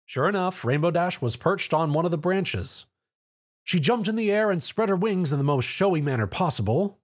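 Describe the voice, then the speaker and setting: A man's voice: high voice, expressive, moderate pace. A man narrating a scripted story in a dramatic storytelling style.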